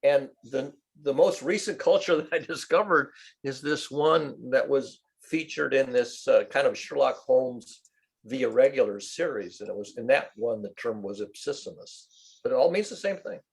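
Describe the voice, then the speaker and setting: A man's voice: medium pitch, moderate pace, creaky, slightly rough. A man in a casual group discussion, explaining an idea expansively and at length. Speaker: meeting participant.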